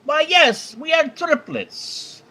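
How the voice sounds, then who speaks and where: A man doing a hammy Irish brother from a pub joke, speaking in an exaggerated fake Irish lilt pitched well above his normal voice. The lines swing up and down in a sing-song way. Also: lively intonation, slow, bright, forceful tone, exaggerated voice. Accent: put-on Irish, deliberately bad. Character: Irish male triplet in a bar joke.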